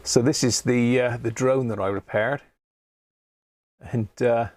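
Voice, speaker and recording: A man's voice: medium pitch, fairly even intonation, unhurried, a little mellow, dry recording. A British man hosting a casual live hobby stream, talking in a rambling, matey way. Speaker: host of a live radio-repair stream. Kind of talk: casual livestream chat.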